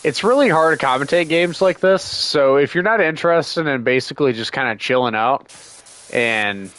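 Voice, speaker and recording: A man's voice: on the high side, lively intonation, normal speed, fairly bright tone. A man doing casual livestream game commentary, sarcastically grumbling and talking back to the game's characters, still getting over a cold with a lingering cough. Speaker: gaming streamer.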